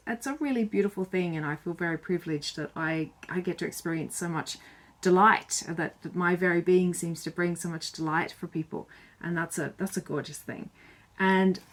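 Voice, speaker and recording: A woman speaking to camera in an earnest, chatty and reflective way, sharing tips with a few fillers. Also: lowish voice. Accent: none Irish. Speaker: self-styled joy bringer.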